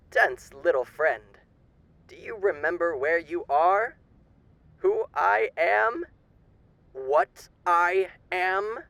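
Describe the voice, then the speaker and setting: A man's voice: on the high side, a bit bright, no echo, full character voice. A man playing a suave, sinister demon with a theatrical, condescending manner and old-fashioned genteel endearments in a roleplay monologue. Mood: condescending menace.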